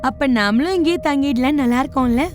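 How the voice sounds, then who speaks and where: A woman voicing a gleeful, agreeable winter spirit in a children's animated story. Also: high-pitched, a little fast, faint music behind, close to an everyday voice. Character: gleeful wintry spirit who freezes trees.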